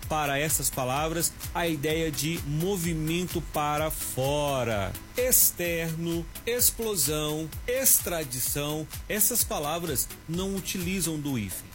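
A man teaching a short radio grammar lesson, explaining slowly and deliberately. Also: fairly high pitch, animated, faint music behind.

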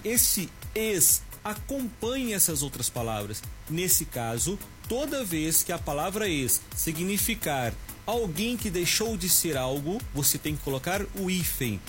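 A man teaching a short radio grammar lesson, explaining slowly and deliberately. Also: on the high side, fairly bright tone.

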